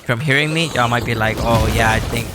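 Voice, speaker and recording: A man losing his voice from illness talks casually to his viewers, apologetic and rambling, clearing his throat often. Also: mid-range voice, fairly quick, crowd noise. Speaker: gameplay YouTuber. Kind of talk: casual talk to viewers before gameplay.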